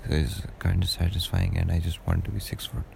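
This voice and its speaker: Deep, gravelly-voiced young man. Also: little pitch movement, brisk, a little mellow, a little hiss or hum. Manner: rambling and hesitant, many fillers and restarts. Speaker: podcast host. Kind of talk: casual solo podcast monologue.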